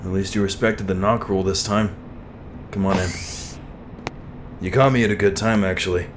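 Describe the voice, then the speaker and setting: Man as a low-voiced, earnest soldier. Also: steady tone, normal speed, background noise, close to an everyday voice. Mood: matter-of-fact. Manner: earnest, subdued. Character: weary, earnest male trooper, loyal worrier. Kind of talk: sci-fi military audio drama.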